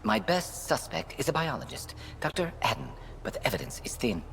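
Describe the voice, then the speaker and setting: A man's voice: mid-range voice, very animated, brisk, creaky, fairly bright tone, slight background noise. A man playing a wry, shrewd retired alien special-forces major in a video game, speaking with dry, frank irony. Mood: frank, intent. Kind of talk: video game character dialogue.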